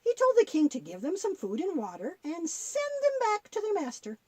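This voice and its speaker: A woman's voice: very high voice, wildly swooping pitch, moderate pace, warm tone, no room sound, heavily acted. A woman playing a kindly, folksy grandmother who patiently tells Bible stories in a children's audio drama. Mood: warm, instructive.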